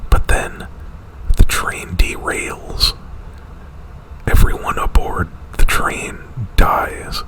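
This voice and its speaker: A man whispering an ASMR retelling of a movie plot right up at the mic, in a halting, rambling, informal way. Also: rough recording. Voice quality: breathy whisper. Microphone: very close to the mic.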